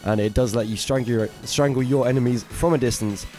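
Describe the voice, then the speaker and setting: A man with a raspy throat, co-hosting a casual gaming news roundup with eager, filler-laden chat. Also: mid-range voice, fairly even intonation, brisk, a little mellow, soft background music. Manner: enthusiastic, chatty, hesitant fillers. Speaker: co-host of gaming news show.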